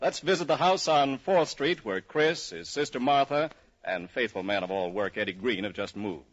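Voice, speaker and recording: A man's voice: mid-range voice, animated, moderate pace. A man narrating in a folksy, scripted 1940s radio style, addressing listeners as an announcer. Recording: muffled old radio recording.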